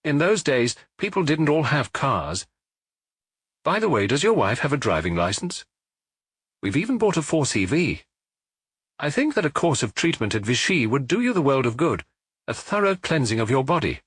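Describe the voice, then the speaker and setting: Man reading frank family doctor, brisk, reassuring, husky, close and dry. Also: medium pitch, sing-song, fairly bright tone, no echo, voice pushed up. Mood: firm. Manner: brisk, reassuring, lightly teasing.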